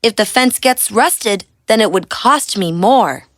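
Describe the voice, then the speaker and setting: A woman's voice: medium pitch, expressive, unhurried, dry recording, studio quality, natural voice. A woman voicing a poor village customer in a storybook tale, pleading and apologetic. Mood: apologetic, worried.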